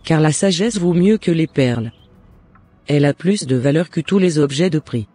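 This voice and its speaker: Man playing a devout mother, mellow and earnest. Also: on the high side, animated, moderate pace, no echo, soft background music. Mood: gently advising. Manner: earnest, encouraging, formal exhortation. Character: devout, loving mother advising her son. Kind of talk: scripted cartoon dialogue.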